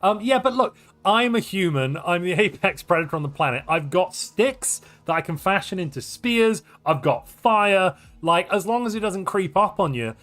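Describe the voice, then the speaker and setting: A man from North Wales, chatting casually and animatedly on a live stream, rambling and joking at his own expense. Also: on the high side. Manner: animated, rambling, jokey and self-mocking. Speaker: streamer and tournament caster.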